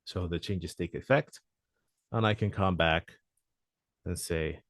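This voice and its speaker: A man presenting a software demo walkthrough in a webinar, talking hesitantly with frequent "uh" fillers. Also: sits low, slow, dry recording, studio quality.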